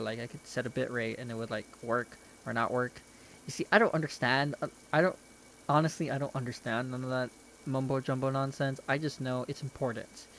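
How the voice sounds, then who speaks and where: A man's voice: mid-range voice, a little hiss or hum. A young man casually rambling and chatting to viewers while streaming a game from his bedroom, self-deprecating and talkative.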